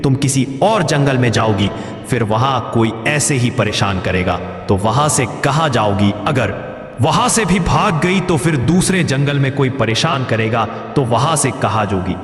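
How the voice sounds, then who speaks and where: A man's voice: medium pitch, expressive, normal speed, close to an everyday voice. Man acting a proud aging lion king, echoing reverb. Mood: firm, reassuring. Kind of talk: animated moral story dialogue. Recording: echoey, long reverb.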